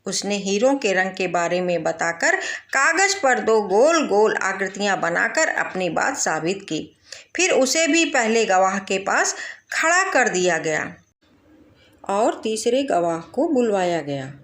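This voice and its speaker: A woman's voice: mid-range voice, sing-song, slow pace, a bit bright. A woman narrating a scripted moral folk tale as a storyteller.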